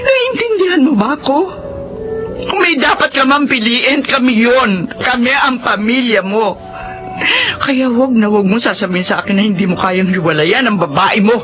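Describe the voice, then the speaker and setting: A betrayed middle-aged wife pours out her pleas in a high, strained voice, as a woman acts her in a radio play. She talks with tearful urgency. The audio is dull, with faint music behind her. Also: lively intonation, normal speed, lightly acted. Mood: anguished. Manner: pleading, tearful confrontation. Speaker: radio drama actor. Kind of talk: Filipino radio drama acting.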